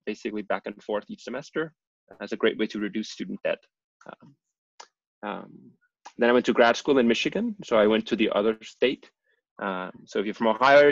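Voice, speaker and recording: Low gravelly guy from denmark, chill lecture over a muffled zoom mic, lots of ums. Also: dry recording. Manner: relaxed, self-deprecating, frequent ums. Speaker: guest presenter, engineering professor. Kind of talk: casual lecture. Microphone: computer mic on a video call. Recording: dull, lo-fi video call audio.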